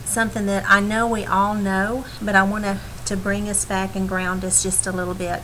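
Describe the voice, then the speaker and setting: A woman, a little raspy from a cold, giving an earnest live update to her team, full of ums and restarts. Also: mid-range voice, bright, forceful tone, slight background noise, poor audio. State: recovering from a cold, slightly raspy.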